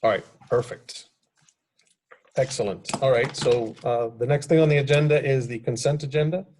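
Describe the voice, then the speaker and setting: Male vice mayor chairing, relaxed and informal, faint background hiss. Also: mid-range voice, moderate pace. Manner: casual, hesitant, moves things along. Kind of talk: chairing a council meeting.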